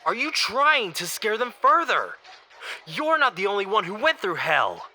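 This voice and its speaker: A man's voice: sits high, very animated, measured pace, pushed and bright, a little hiss or hum, exaggerated voice. A male actor playing a stern, exasperated boss in an audio drama, formal and reproving. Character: exasperated, officious male boss firing an employee. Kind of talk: audio drama dialogue acting.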